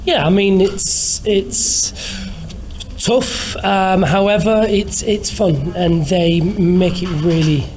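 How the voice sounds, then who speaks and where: Slow-talking man, some background noise. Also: high-pitched, fairly even intonation, darkish tone. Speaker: pantomime performer. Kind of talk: casual interview answers.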